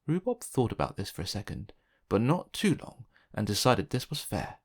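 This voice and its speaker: A man narrating a children's picture book as an expressive read-aloud. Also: lowish voice, normal speed.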